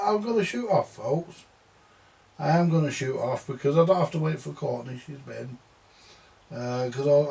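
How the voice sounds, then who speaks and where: A man's voice: mid-range voice, vocal fry, dark tone. An older man who repairs vintage audio gear, rambling and grumbling in a casual monologue, repeating himself and swearing now and then.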